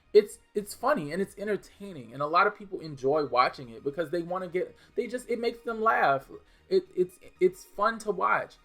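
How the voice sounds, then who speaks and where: A man's voice: on the high side, fairly bright tone. A young man from New Orleans with a mild New Orleans accent, chatting casually and bluntly while answering viewer questions, with restarts and fillers. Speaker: gaming video creator. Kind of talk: casual Q&A chat.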